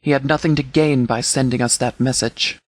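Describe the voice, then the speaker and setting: A man playing a stern sheriff in a video game, giving formal, measured briefings with authority. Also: mid-range voice, steady tone, no room sound, close to an everyday voice. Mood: matter-of-fact, authoritative. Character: stern, formal sheriff commanding a post-apocalyptic settlement militia. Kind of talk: scripted video game dialogue, military briefing.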